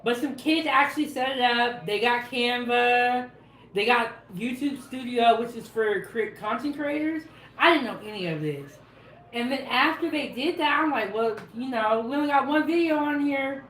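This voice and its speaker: A woman's voice: on the high side, normal speed, fairly bright tone, slight background noise. A woman co-hosting a live cooking stream, chatting casually, reading viewer comments and laughing often. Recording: echoey room.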